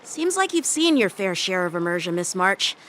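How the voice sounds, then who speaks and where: A woman's voice: medium pitch, sing-song, moderate pace, a bit bright, slight background noise. A woman voicing a polite, earnest teenage boy swordmaster in an English video game, patient, courteous and instructive. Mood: calm, courteous.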